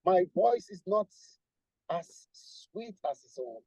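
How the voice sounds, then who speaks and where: A man from Nigeria with a husky voice, a guest musician talking at length in a casual interview and restarting his sentences often. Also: high voice, very animated, slow, mellow, no echo, dull audio. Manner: rambling, repeats and restarts, lists with emphasis.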